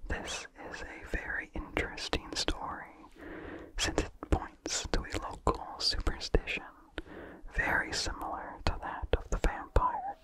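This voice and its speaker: Slow, hushed whispering ASMR narrator. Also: very high-pitched, rough recording. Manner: soft, unhurried whispering, measured diary-style reading. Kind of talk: whispered ASMR reading of a vintage horror story.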